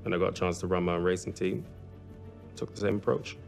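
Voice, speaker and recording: Earnest young racing boss, low creaky voice, conversational, self-assured, video game documentary scenes. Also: male voice, fairly even intonation, fast, a little mellow, faint music behind. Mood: earnest, confident. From South London, England. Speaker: racing team principal. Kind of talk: documentary-style interview acting.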